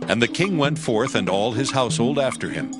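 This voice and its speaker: A man's voice: medium pitch, animated, a little fast. A man narrating scripture from a script in a solemn, formal storytelling manner. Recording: loud music under the voice.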